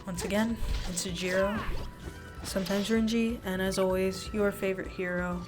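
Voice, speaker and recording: A woman's voice: mid-range voice, fairly even intonation, normal speed. A woman hosting her own channel, talking casually and sincerely to her viewers in a personal way. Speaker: video creator and channel host. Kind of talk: casual, earnest talk to viewers over gameplay. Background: music.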